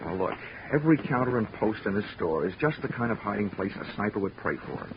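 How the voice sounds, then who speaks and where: Wry hard-boiled American radio detective. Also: male voice, on the low side, quick pace, noisy background. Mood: cautious, dry. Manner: dry, wisecracking, deadpan. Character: wry, hard-boiled male insurance investigator. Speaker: lead actor and first-person narrator. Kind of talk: 1940s radio detective drama acting and narration. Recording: dull 1940s radio recording with music.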